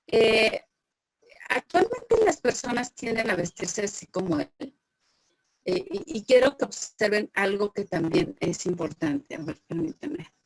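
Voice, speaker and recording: Slow-speaking woman teacher. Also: a little high, very animated, no room sound, poor audio. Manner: patient, questioning, praises students by name. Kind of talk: online class lecture, calling on students.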